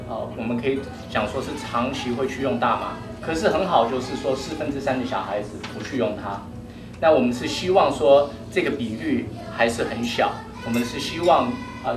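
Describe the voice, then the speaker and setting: A man interpreting a speech live, hesitant and filling pauses with filler sounds. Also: medium pitch. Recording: echoey room, distant mic, music behind.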